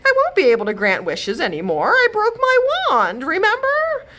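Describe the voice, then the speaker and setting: A woman's voice: high-pitched, wildly swooping pitch, normal speed, voice pushed up. A woman voicing a proud, fretful fairy godmother for a storybook, dramatic and easily upset. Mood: tearful wailing. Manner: dramatic hissing, moaning and wailing.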